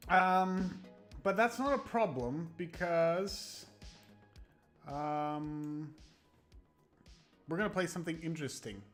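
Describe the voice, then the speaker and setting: A man's voice: fairly high pitch, slow, pauses often, fairly bright tone. A man hosting a livestream, chatting casually with viewers in a rambling, stop-start way over background music. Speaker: live streamer and host. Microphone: new close microphone, no webcam.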